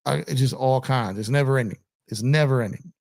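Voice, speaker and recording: Gravelly-voiced man rambling on a livestream. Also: medium pitch, brisk, darkish tone. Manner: rambling, repeats himself, many fillers. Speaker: livestream host. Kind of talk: casual livestream chat reading comments. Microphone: streaming setup in a gaming chair.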